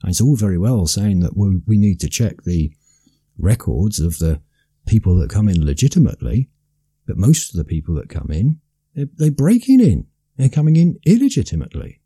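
Dark husky-voiced man, impassioned. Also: lowish voice, very animated, normal speed, dry recording, clean studio sound. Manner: impassioned, emphatic, with frequent restarts. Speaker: commentator. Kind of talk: solo commentary monologue.

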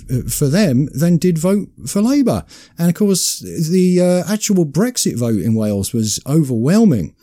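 A man's voice: medium pitch, dark tone. A man hosting an internet radio talk show, speaking in an emphatic, gleeful way as he runs through a list.

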